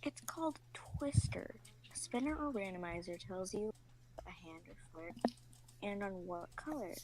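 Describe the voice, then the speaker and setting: A woman voicing a calm, reasonable male leader in a measured, explanatory way for a fan fiction reading. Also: medium pitch, background noise, lightly acted.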